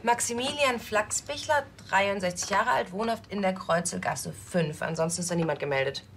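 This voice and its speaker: Woman acting station policewoman, factual, brisk, medium pitch, TV drama, faint background noise. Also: fairly bright tone, lightly acted. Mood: businesslike. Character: matter-of-fact female police station officer.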